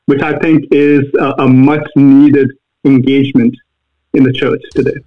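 A man with an Indian accent, a guest answering interview questions earnestly, pausing often on fillers. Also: mid-range voice, flat delivery. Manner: earnest, halting with many fillers. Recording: tinny phone-like line.

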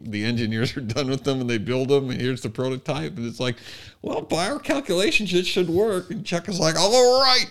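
A man's voice: medium pitch, fairly bright tone. Guy on a podcast, mid-range voice, casual storytelling, kinda unsure and self-correcting. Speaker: podcast co-host.

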